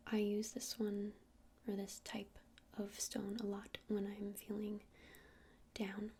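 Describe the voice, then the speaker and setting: A woman's voice: mid-range voice, monotone, slow pace, full character voice. Woman as a whispering, friendly witch shopkeeper. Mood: gentle, helpful. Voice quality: soft breathy whisper. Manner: hesitant, informal explaining. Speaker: ASMR roleplayer. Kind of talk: whispered ASMR roleplay.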